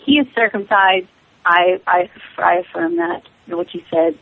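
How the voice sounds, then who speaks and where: A woman's voice: fairly low pitch, a little slow, phone-quality sound. A woman in her late twenties joining a casual radio talk show, making playful, teasing remarks. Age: 20s.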